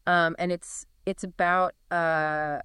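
A woman's voice: lowish voice, level tone, takes it slowly, sharp tone, smooth, no room sound. A woman hosting a casual podcast, explaining things conversationally. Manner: conversational, explanatory, some restarts. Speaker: podcast host.

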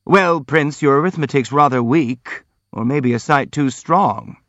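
A man's voice: medium pitch, a little slow, a bit bright, no room sound, muffled recording, voice pushed up, close to an everyday voice. A male narrator plays an insolent young man from a classic novel. He sneers with malicious amusement, dragging out his sarcastic digs. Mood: mocking. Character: malevolent, mocking young nephew, sneering and insolent.

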